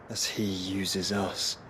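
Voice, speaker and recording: An actor playing a solemn, resolute nobleman in a video game, earnest and deliberate with formal phrasing. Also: deep voice, pushed and bright, a little hiss or hum, heavily acted. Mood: troubled. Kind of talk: dramatic video game acting.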